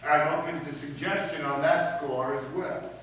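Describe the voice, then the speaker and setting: A man plays a pompous school principal in a radio comedy, laying on florid mock humility in a slightly high voice. He is heard from well back in a very echoey room. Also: fairly even intonation, moderate pace, a bit bright, natural voice. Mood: ingratiating. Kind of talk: old-time radio comedy acting before an audience. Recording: echoey room, distant mic, faint noise.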